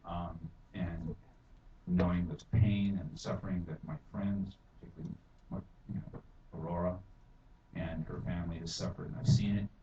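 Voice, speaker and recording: A deep-voiced middle-aged man testifies in a rambling way, with many fillers, and turns forceful as he goes. He sounds dull and distant, caught across a reverberant council chamber. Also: fairly even intonation, moderate pace. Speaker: public testifier. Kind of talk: public testimony at a council hearing. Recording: echoey hall from far off, dull and noisy.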